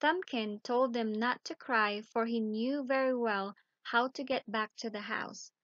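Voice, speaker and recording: A woman storyteller reading a children's bedtime story aloud in a measured, deliberate way. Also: fairly high pitch, fairly bright tone, no echo, muffled recording.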